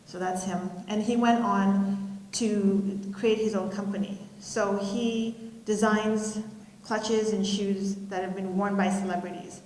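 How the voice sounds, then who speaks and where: Middle-aged woman keynote speaker, measured and reflective, mid-pitched voice, live hall sound with long echo. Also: steady tone, a little mellow. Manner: measured, reflective, pauses with fillers. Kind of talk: personal storytelling speech. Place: school auditorium, live audience. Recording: roomy hall reverb.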